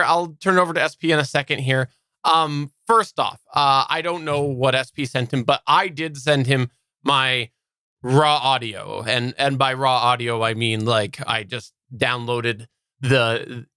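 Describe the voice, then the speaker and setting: A man's voice: on the high side, bright, forceful tone, smooth, dry recording. A man hosting a podcast in a casual, technical explainer style, often qualifying his points with asides, on a close studio microphone. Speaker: podcast host. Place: quiet, dry home studio. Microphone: close studio microphone through preamp.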